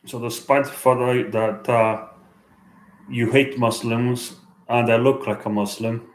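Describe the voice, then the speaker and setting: A man livestreaming at night, chatting in a hesitant, halting way with many restarts and fillers. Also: mid-range voice, steady tone, echoey room, rough recording. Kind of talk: late-night street livestream chat. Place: out at night in a city.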